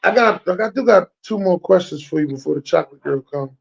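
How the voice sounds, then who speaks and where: A man's voice: high-pitched, expressive, brisk, gravelly, highs cut off. A man hosting a casual interview, talking in a loose, slangy way and often repeating his phrases. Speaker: host.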